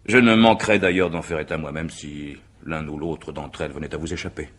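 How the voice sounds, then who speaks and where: An actor playing a courteous, methodical examining magistrate in a radio mystery drama, speaking in formal, measured, legalistic phrases. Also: male voice, a bass voice, fairly even intonation, fairly bright tone. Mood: measured, ironic.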